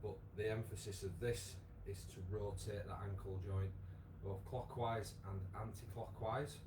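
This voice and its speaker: A man with a croaky voice, leading a live online exercise class with many fillers. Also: on the low side, fairly even intonation, a little slow, noisy background. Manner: chatty, encouraging, lots of fillers. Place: home room with wall and bar. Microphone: device camera, moves away at times.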